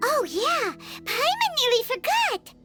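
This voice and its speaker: A woman's voice: extremely high pitch, big pitch swings, a little slow, music in the background, full character voice. A woman voicing a tiny childlike fairy companion in a video game, cutesy, chirpy and earnest. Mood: earnest, curious. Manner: cutesy, calls herself by name. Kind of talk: game character dialogue.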